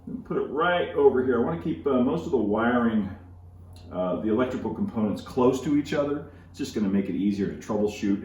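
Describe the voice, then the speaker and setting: Deep voiced guy, middle aged, casual how-to talk while working, echoey workshop w some background noise. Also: darkish tone. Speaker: host of model railroad channel. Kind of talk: casual hands-on tutorial narration. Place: indoor home workshop.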